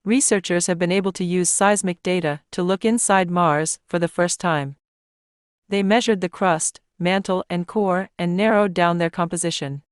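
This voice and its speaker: A woman's voice: fairly low pitch, steady tone, normal speed, smooth, no echo, clean studio sound. A woman reading prepared text aloud as a narrator. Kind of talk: scripted read-aloud narration.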